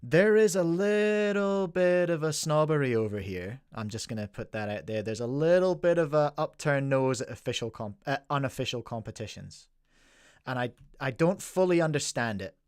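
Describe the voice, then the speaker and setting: A man's voice: mid-range voice, lively intonation, normal speed, a little mellow, clear, resonant tone, dry recording, studio quality. A man from Scotland with a Scottish accent, hosting a podcast and talking in a winding, chatty way with asides to listeners. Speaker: podcast host. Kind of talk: casual podcast chat.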